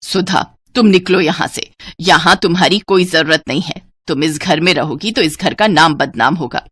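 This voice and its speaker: A woman's voice: on the low side, moderate pace, fairly bright tone, breathy edge, no room sound, studio quality. A woman voicing a harsh middle-aged mother-in-law who gives belittling commands, in a cartoon moral story. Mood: contemptuous.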